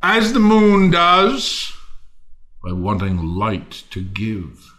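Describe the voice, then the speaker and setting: Scornful misanthrope in a shakespeare audio play, bright forceful voice, really slow ranting, declamatory. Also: male voice, lowish voice, huge pitch swings, exaggerated voice. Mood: bitter, scornful. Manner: declamatory, scornful, drawn-out phrasing with sudden swoops. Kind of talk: theatrical Shakespearean audio drama.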